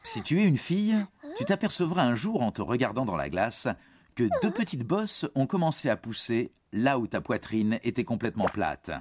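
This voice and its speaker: A man narrating an educational cartoon in a playful storytelling way, speaking directly to a young listener. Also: mid-range voice. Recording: music under the voice. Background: background music.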